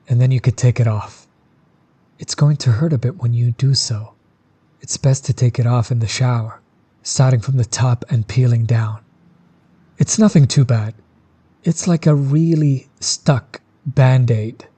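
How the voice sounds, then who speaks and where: A man playing a friendly, laid-back tattoo artist who talks to the listener in a relaxed, supportive, reassuring way, as in an audio roleplay. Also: medium pitch, slightly rough. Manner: relaxed, supportive, explains gently.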